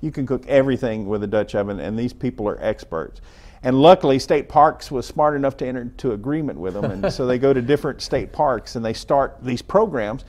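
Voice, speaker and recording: A man's voice: mid-range voice, expressive, fairly quick, a little mellow. An older man, a park ranger guest on a TV morning show, talking enthusiastically with many fillers and repeats. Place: TV studio set.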